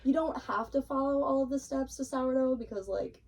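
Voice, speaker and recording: A woman with a fairly high, very soft-edged mellow voice talks casually as an interview guest. Her phrasing is informal and hedging. She sounds slightly away from the microphone. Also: brisk, far from the mic. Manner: informal, hedging speech peppered with "like". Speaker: podcast guest, hobby baker and cake decorator.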